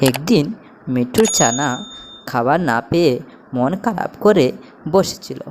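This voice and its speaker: Low, slow-paced woman storyteller. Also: expressive, a little mellow. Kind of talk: scripted children's story narration. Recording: noticeable background noise, ambience.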